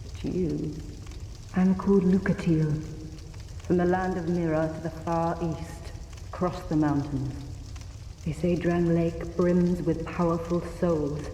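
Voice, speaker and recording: A woman's voice: sits low, mellow, reverberant, big-room sound, slight background noise, rough recording. A woman playing a guarded, aloof wandering knight in a fantasy video game.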